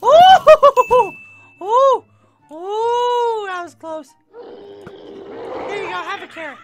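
A woman playing an excitable, easily flustered young cartoonish heroine in a Minecraft roleplay, exclaiming and repeating her phrases. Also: extremely high pitch, extremely slow, background noise, exaggerated voice. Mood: playful, combative. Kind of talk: Minecraft roleplay gameplay acting.

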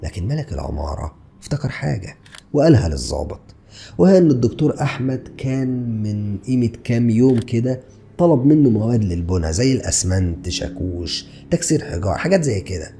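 Fast, gravelly Egyptian man telling true crime. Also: medium pitch, animated, dark tone, soft background music. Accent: Egyptian Arabic. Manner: addresses listener directly with rhetorical questions. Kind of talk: dramatic true crime narration. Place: small dark studio indoors. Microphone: podcast mic on stand in front.

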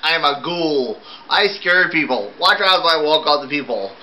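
A man's voice: a little high, lively intonation, normal speed, pushed and bright, pitched above the performer's own voice. A man voicing a lonely male ghoul who talks in beatnik slang. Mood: moaning. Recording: dull lo-fi recording.

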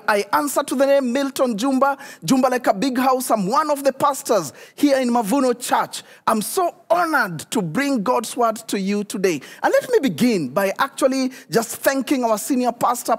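Pastor, middle-aged man, animated direct-address sermon, reverberant hall sound. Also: very high voice. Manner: animated, addresses viewers directly, repeats key questions. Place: church stage studio, indoors.

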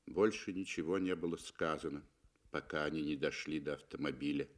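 A man reading literary fiction as an actor in a mid-century Soviet radio play, theatrical and deliberate, with weighty pauses. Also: a bass voice.

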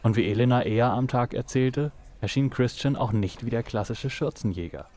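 A man's voice: mid-range voice, a little fast, darkish tone. A man reading scripted narration for a noir audio drama.

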